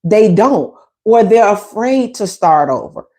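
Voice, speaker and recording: A woman's voice: medium pitch, expressive, takes it slowly, darkish tone. A woman, hosting a casual relationship advice talk, emphatic and conversational, repeating her points.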